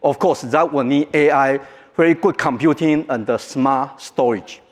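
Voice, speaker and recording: Taiwanese-accented man in a reverberant hall. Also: mid-range voice. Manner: joking, halting, non-native phrasing. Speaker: keynote speaker. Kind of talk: conference keynote talk. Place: large conference hall.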